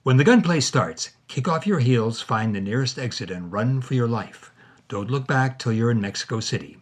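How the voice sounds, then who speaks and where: A man voicing an affectionate film director who speaks in a grave, gentle, warning way. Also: medium pitch, animated, normal speed, close to an everyday voice. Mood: grave, tender. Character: calm, affectionate male film director warning his star.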